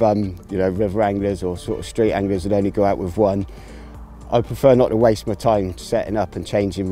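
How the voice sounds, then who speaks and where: Relaxed low-voiced man explaining fishing gear. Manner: relaxed, explains gear step by step with many fillers. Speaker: angling presenter. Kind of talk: outdoor fishing tutorial. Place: grassy riverbank outdoors.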